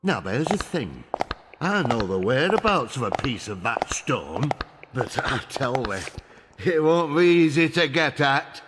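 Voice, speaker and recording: A man's voice: mid-range voice, big pitch swings, measured pace, in a large hall, heavy background noise. A man playing an anxious, blustering village mayor with a Northern English accent and folksy, dramatic manner in a video game cutscene. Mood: confiding. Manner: dramatic, exclamatory, folksy dialect.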